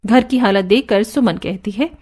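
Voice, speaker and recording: A woman narrating a cartoon story in an even storytelling manner. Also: mid-range voice, moderate pace. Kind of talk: scripted narration.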